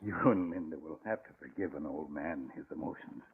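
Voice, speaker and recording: A man's voice: rumbling low, brisk, a little hiss or hum. An actor playing an emotional, proud old father in 1940s radio style, with impassioned, dramatic delivery. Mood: proud, moved. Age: older. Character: emotional proud old father, retired crime-fighting newspaper owner. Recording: muffled, narrow-band old radio recording.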